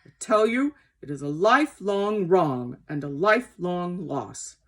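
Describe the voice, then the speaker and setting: A woman voicing a gentle but indignant old male horse in a storybook, speaking in an emphatic, aggrieved and dramatic way. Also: sits low, sing-song, unhurried, slightly rough, heavily acted.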